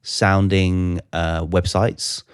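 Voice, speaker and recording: A middle-aged British man co-hosting a casual podcast, explaining things in a halting way. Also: deep voice, little pitch movement, clear, resonant tone, no echo, clean studio sound. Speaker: podcast co-host.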